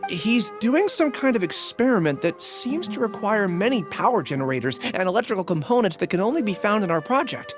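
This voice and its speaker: A man's voice: high-pitched, big pitch swings, fairly quick, hardly any pauses, music in the background. A man playing a nervous, guilty researcher in a game, with a flustered, stammering delivery. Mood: uneasy confessing. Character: nervous, greedy male researcher caught selling stolen parts. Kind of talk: animated game dialogue acting.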